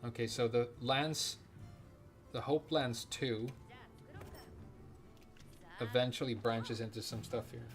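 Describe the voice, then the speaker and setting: A man's voice: medium pitch, steady tone, slow pace, long pauses, faint music behind. A man streaming a video game, chatting casually, reading out viewer questions and swearing now and then as he plays. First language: Japanese. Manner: rambling, swears casually, reads chat questions aloud. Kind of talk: casual live-stream chat while playing.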